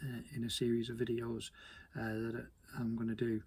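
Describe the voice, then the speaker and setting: A British man giving an informal technical explainer in a conversational, hesitant way, often repeating words, with a husky, gravelly voice. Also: mid-range voice, completely flat delivery, moderate pace, darkish tone. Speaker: hobby radio presenter.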